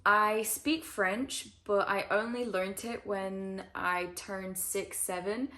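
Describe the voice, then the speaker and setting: Young woman with a slightly northern British accent. Casual, chatty vlogger voice, middle pitch, filler-heavy. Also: takes it slowly, pushed and bright. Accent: northern English-tinged British. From Switzerland. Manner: chatty, many ums and likes. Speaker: climber and video creator. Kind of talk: casual Q&A to camera.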